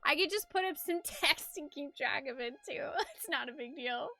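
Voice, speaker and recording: Female game streamer and narrator; bright, swooping delivery, playful and expressive. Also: high voice, normal speed, no room sound. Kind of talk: live stream chat mixed with expressive story narration.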